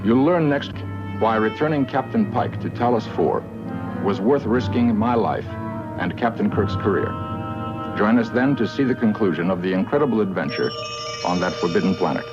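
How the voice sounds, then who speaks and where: A man's voice: fairly low pitch, moderate pace, muffled recording, over music, natural voice. A man playing a solemn starship science officer, narrating a scripted episode trailer.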